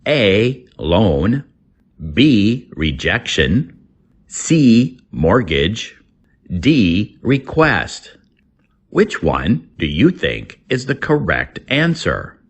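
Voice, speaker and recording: A man reading a scripted English lesson aloud as a teacher, deliberately, word by word. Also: on the low side, very animated, husky. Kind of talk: scripted lesson narration, slow read-aloud.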